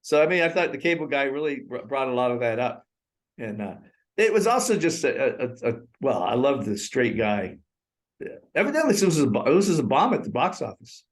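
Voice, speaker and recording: An older man, around sixty, co-hosts a casual movie review chat over a remote call. He rambles from one thought to the next and breaks into laughter often, at an ordinary pitch for a man. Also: very animated, a little fast. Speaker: co-host. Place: in Thailand.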